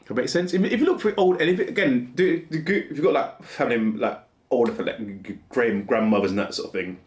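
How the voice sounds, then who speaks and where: Male vlogger, 40s, casual rambling delivery, very lively pitch, echoey room. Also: quick pace. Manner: rambling, restarts often, laughs at himself. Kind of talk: casual musing monologue.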